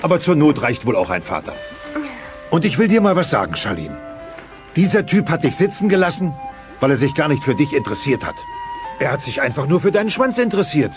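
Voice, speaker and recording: A man's voice: fairly high pitch, big pitch swings, brisk. A voice actor playing a mighty, pompous dinosaur father, blustering and sentimental, in a German dubbed family sitcom audio play. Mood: protective, gentle. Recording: dull cassette transfer with music.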